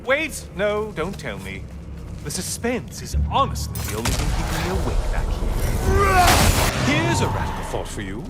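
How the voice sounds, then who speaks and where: An actor playing a mocking, theatrical sun god in a video game, needling with sarcasm. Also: male voice, on the high side, wildly swooping pitch, normal speed. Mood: sarcastic, taunting. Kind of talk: video game villain acting. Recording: loud game music over voice.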